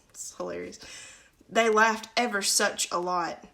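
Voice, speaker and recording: A woman's voice: medium pitch, steady tone, slow pace, gravelly, bright, forceful tone. A woman reading a children's storybook aloud in a casual live session, breaking off for chatty asides.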